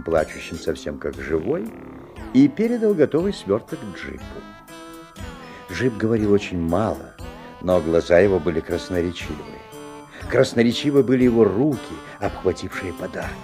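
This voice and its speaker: An actor playing a bemused, observant father who narrates a magical story in a radio drama, with measured, expressive storytelling. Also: lowish voice.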